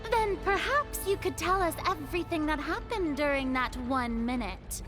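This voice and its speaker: A woman's voice: high-pitched, lively intonation, moderate pace, over music. A woman voicing a vain, theatrical young noble who speaks with grandiose, showy courtroom flourish in a game cutscene.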